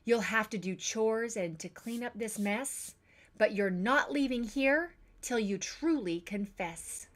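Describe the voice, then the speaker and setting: A woman voicing a stern adult mother who scolds her daughter in firm, measured words. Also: high voice, expressive, pitched above the performer's own voice.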